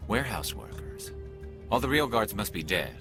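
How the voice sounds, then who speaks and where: A man voicing an earnest, principled soldier in a video game, speaking in a measured way. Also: fairly low pitch, sing-song, music in the background. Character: earnest male military lieutenant, principled and measured.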